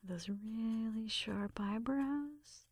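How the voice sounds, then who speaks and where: A woman performing an ASMR roleplay in a gentle, breathy whisper, murmuring through each step close to the microphone. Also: fairly high pitch, very slow, dry recording. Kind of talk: ASMR roleplay, soft personal attention. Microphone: close microphone, dry sound.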